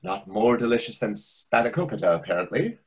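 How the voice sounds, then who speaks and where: A man acts out a pompous, over-familiar restaurant waiter in a stage comedy. His voice is very husky and swings widely in pitch, on the lower side, as he lectures with theatrical condescension. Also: very animated, a little fast, full character voice. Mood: wounded, snide. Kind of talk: readers theatre comedy acting. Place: indoors on a video call. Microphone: video call microphone.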